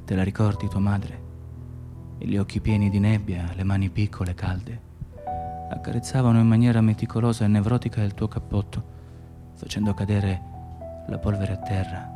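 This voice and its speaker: A man's voice: on the low side, level tone, normal speed, close to an everyday voice. A man playing a persistent, wistful stranger in a radio play, insistent and dramatic, often repeating his phrases. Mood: nostalgic, intimate. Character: persistent, wistful male stranger musing on travel and home. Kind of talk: Italian radio play acting. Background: music.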